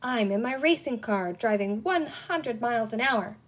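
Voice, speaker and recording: A soft-hearted young storybook boy, read by a woman. He speaks earnestly and blurts things out as he tries to sound tough. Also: fairly high pitch, lively intonation, normal speed. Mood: playful.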